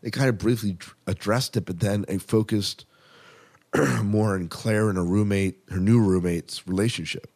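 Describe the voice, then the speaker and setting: A man's voice: fairly low pitch, moderate pace, a little mellow. A man hosting a podcast in casual, conversational chat about shows, often putting questions to his co-host.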